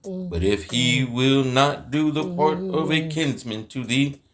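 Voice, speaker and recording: Man voicing kindly landowner, formal emphatic delivery, slow, reassuring, scripture drama, crowd murmur behind. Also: mid-range voice, expressive.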